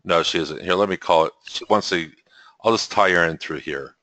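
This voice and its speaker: Deep-voiced man teaching on a call. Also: normal speed. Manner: relaxed, chuckling, many restarts. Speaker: webinar host. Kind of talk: teaching webinar talk. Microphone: computer mic on a call.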